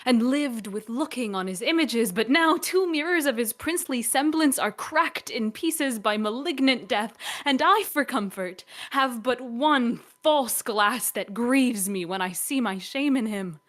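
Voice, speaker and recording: A woman's voice: fairly high pitch, lively intonation, a little slow. A woman playing a dignified, bitter old royal grandmother in a Shakespearean radio play, speaking formal declamatory verse. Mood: bitter grief.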